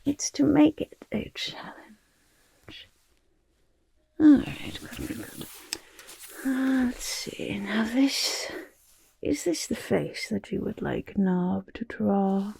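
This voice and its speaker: Raspy, whispery goblin artist. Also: female voice, mid-range voice, slow pace, long pauses, dark tone, dry recording, exaggerated voice. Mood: eager, coaxing. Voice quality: raspy, breathy. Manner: speaks in third person, hesitant, fussy, coaxing. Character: chatty, eager, self-important little goblin portrait artist. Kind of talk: ASMR personal attention roleplay, half-whispered.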